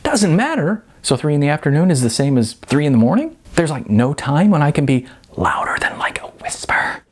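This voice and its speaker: Man acting excitable, exasperated young rock guitarist. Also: fairly high pitch, a little fast, husky, voice pushed up. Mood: indignant. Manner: indignant, slangy, excitable.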